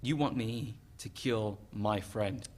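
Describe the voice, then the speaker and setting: A man's voice: on the low side, slow. A young man playing an anxious but loyal condemned prisoner in a dramatic play reading, questioning and stammering. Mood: bewildered. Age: young adult.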